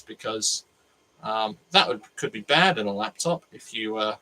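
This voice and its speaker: A man's voice: mid-range voice, fairly bright tone, poor audio. A nasal-voiced Australian man hosting a casual livestream from his workshop, thinking aloud and rambling with restarts. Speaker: maker livestream host. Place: home workshop. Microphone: cheap USB microphone.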